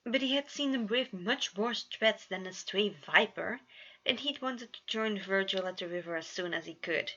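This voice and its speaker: A woman's voice: mid-range voice, animated, moderate pace, pushed and bright, highs cut off. A woman reading scripted fanfiction narration aloud as an amateur storyteller. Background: no background atmosphere.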